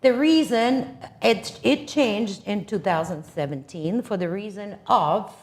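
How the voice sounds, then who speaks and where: A woman's voice: medium pitch, unhurried. Emphatic woman chatting with opinions. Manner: emphatic, assertive. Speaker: talk show host and competition judge. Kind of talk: casual opinionated live chat.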